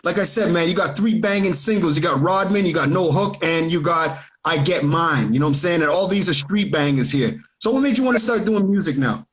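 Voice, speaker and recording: A high-pitched man hosting a hip hop radio show. He talks with animated, eager energy and drops street slang as he hypes his guest, recorded from a home setup. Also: fairly quick, few pauses. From Canada. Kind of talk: casual hip hop interview. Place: home setup, remote during lockdown.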